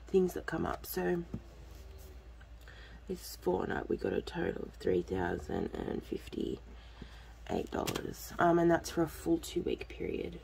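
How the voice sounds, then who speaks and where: A woman's voice: lowish voice, gravelly, a little mellow, slight background noise. A woman, talking casually to her viewers, congested and coughing, her throat a bit off, rambling with lots of ums. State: lingering cough and congestion after illness. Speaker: vlogger, budgeting channel host.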